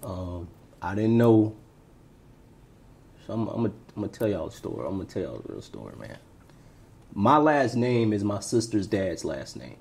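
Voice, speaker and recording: Low, husky-voiced man from Tampa. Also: very animated, moderate pace, pauses often. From Tampa, Florida, US. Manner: reflective, trails off quietly. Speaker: podcast co-host. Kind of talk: casual interview storytelling.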